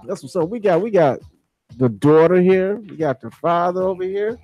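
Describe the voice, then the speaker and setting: An American man hosts a casual interview show in a relaxed, mellow voice, pitched a bit high for a man. He talks in loose slang and likes to repeat his phrases. The sound is close and dry. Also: moderate pace, no echo, highs cut off. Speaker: radio show host.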